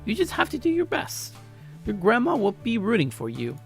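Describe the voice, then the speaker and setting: A man voicing a doting, energetic grandmother of about eighty in a story, playfully crafty and coaxing. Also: sits high, moderate pace, creaky, faint music behind, pitched above the performer's own voice, heavily acted. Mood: teasing. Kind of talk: character voice in a story reading.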